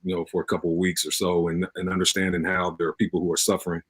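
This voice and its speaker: A man talking earnestly as an interview guest, in measured, hesitant phrases. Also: low voice, level tone, a bit bright, husky, no echo. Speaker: interview guest, cast member. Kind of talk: earnest casual talk.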